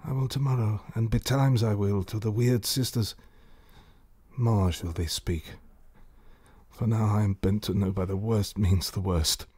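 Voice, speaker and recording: A man's voice: on the low side, steady tone, a little slow, warm tone, husky. An actor playing a guilt-haunted, volatile king in a classical Shakespearean radio drama, with a theatrical, declamatory manner. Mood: brooding, grim. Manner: theatrical, declamatory, swinging between outcry and brooding.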